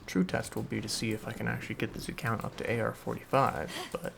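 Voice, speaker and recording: A man's voice: lowish voice, level tone, fast, vocal fry, background noise. A man giving casual live gameplay commentary as a streamer. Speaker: game streamer.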